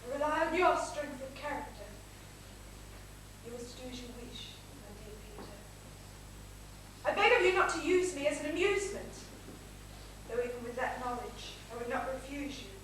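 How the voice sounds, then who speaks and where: A woman's voice: high-pitched, lively intonation, moderate pace, pauses often. A woman playing an anguished married lady torn by secret passion in a stage period comedy, with melodramatic pleading delivery. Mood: solemn, yearning. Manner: melodramatic, breathless pleading, theatrical. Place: theatre stage, recorded from the audience. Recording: echoey theatre stage, distant mic, faint hiss.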